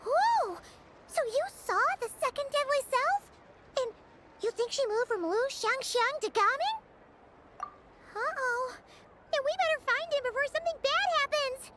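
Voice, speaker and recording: A woman voicing a tiny, childlike, excitable fairy companion in a video game, with exclamatory delivery. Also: very high-pitched, a little slow, background noise. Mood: alarmed. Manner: speaks of self in third person, exclamatory. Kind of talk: cartoonish video game voice acting. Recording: loud music under the voice.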